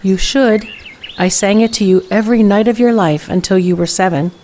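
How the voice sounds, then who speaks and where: A woman's voice: medium pitch, moderate pace, darkish tone, slight background noise, natural voice. An actress playing a caring, well-mannered middle-aged mother in an audio drama. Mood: tender, soothing.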